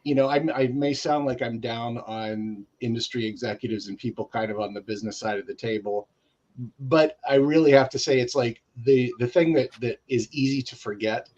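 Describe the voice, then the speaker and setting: A man chatting casually as a guest writer on a panel, in a loose, halting way with repeated phrases. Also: mid-range voice, darkish tone, lo-fi sound.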